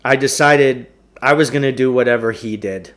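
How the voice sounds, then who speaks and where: A man's voice: mid-range voice, moderate pace, a bit bright. A man reading a children's novel aloud as a storyteller, in scripted narration.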